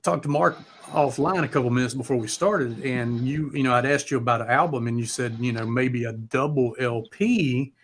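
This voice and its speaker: A man hosting a live music chat, talking casually and haltingly with loose swearing. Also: mid-range voice, a little hiss or hum. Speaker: host of a live music talk show.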